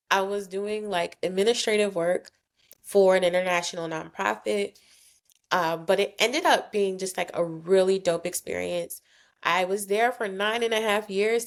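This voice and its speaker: A woman in her thirties from South Jersey, a guest talking candidly about her career in a casual podcast interview, often acting out what others said to her. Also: medium pitch. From South Jersey, USA. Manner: candid and chatty, acts out quoted voices. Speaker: guest, nonprofit founder.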